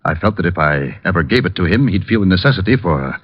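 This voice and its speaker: A man's voice: sits low, expressive, fast, a little hiss or hum. An actor playing an anxious male dentist who fears for his life, hesitant and grave, in a 1940s radio drama. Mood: worried, grave. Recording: very thin, phone-like old radio recording.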